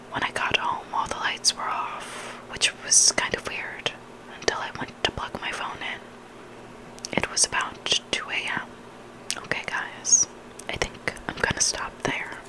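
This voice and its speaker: An ASMR creator whispering scary stories in a soft, unhurried narration, phrased for dramatic storytelling. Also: rough recording. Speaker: ASMR creator reading listener horror stories.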